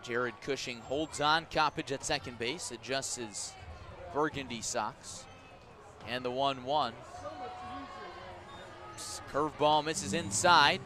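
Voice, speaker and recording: A man doing live radio play-by-play of a baseball game, descriptive and unhurried between pitches and punchier on the action. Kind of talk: live radio sports commentary.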